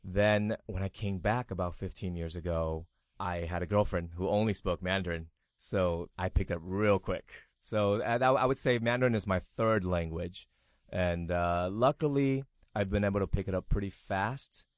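Man, 40s, very low voice, dry recording. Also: normal speed, dry recording. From Taiwan. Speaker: interview guest. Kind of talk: casual conversation.

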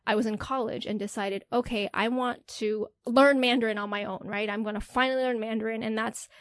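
A woman's voice: fairly high pitch, brisk, no echo. A young woman, an interview guest, telling stories in casual chat and often adding "right?". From United States. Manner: animated storytelling, frequent "right?" tags.

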